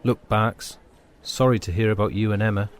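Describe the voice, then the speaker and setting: A man's voice: lowish voice, fairly even intonation, moderate pace, natural voice. A man playing a loyal, laddish footballer mate in an audio drama, talking in colloquial, matey British English. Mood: earnest. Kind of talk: full-cast sci-fi audio drama.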